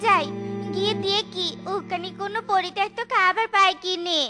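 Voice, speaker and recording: A woman's voice: extremely high pitch, steady tone, moderate pace, music in the background. A woman voicing a poor, tender but resolute mother fox in a children's cartoon, pleading and folksy. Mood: worried, plaintive.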